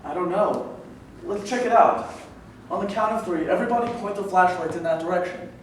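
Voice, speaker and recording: A man's voice: sits high, fairly quick, a little hiss or hum, close to an everyday voice. A man in a staged reading playing a careful, analytical young archaeologist who leads a team. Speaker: actor. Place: echoey room at a staged reading. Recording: very echoey room, distant mic.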